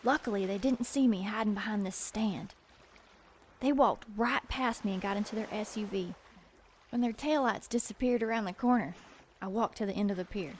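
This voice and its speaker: An actress playing a plucky, anxious, determined woman, narrating an audio drama in an urgent, expressive way. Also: mid-range voice, normal speed, a little hiss or hum, close to an everyday voice. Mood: tense, determined.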